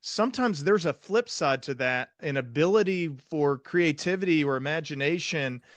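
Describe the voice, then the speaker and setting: A man's voice: on the high side, lively intonation, moderate pace, no room sound. A man with an American accent hosting a podcast interview in a conversational style. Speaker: podcast host, psychiatrist.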